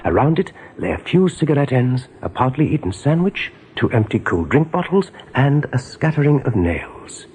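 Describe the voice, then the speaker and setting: A man giving scripted narration in a radio drama, measured and dramatic. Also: mid-range voice, big pitch swings, a little mellow, husky. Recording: noisy old radio play recording.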